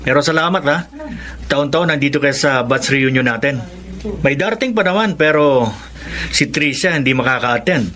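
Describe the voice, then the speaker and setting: A man's voice: mid-range voice, moderate pace, sharp tone, close to an everyday voice. An actor playing a friendly, admiring young male friend, chatty and good-natured, in a Filipino radio drama. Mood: gossipy.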